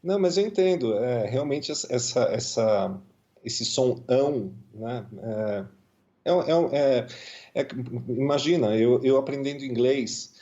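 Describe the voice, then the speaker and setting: A Brazilian man with a Brazilian Portuguese accent talks casually about music, working through his points with fillers and repeated words. His voice is dark and mellow. The recording sounds slightly muffled and lo-fi. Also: unhurried. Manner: thoughtful, many fillers and repetitions, lively pitch swings. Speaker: guest musician. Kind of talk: casual conversational interview.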